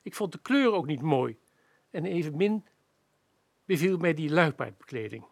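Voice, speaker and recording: Guy playing a practical hotel boss in a radio play, creaky raspy voice, a bit high for a man. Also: very animated, moderate pace, no room sound, heavily acted. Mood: dry, reluctant. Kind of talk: dramatic storytelling radio play.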